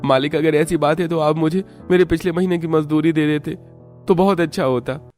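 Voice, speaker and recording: A man's voice: on the high side, steady tone, brisk, darkish tone, soft background music, natural voice. A man playing a poor, earnest young labourer in a cartoon story, worried and pleading.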